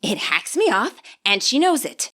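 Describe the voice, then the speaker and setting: A touchy fourteen-year-old boy, voiced by a woman reading a story, grumbling and defensive. He drags his words out slowly and sourly, with a bright, sharp tone. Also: a little high, sing-song.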